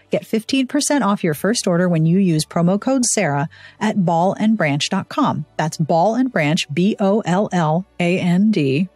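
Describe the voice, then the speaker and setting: A woman's voice: fairly low pitch, a little mellow, dry recording. Woman podcast host, dry close sound. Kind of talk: ad reads and casual interview chat.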